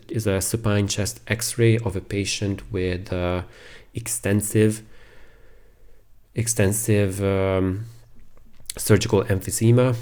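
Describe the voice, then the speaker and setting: Male medical lecturer, deep register, unhurried delivery, halting explanatory phrasing. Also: darkish tone. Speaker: radiologist giving a lecture. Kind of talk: medical lecture over slides.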